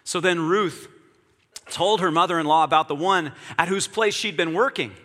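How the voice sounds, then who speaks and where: A man's voice: a little high, normal speed, fairly bright tone, long reverb tail. A man preaching a sermon in an earnest, reflective way, repeating key phrases.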